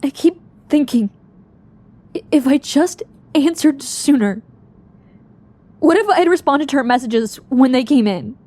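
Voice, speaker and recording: A woman's voice: sits high, animated, unhurried, pauses often, a little mellow. Earnest, troubled young woman, played by an actress. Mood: anguished, tearful. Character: guilt-ridden young woman searching for her missing sister. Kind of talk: audio drama acting.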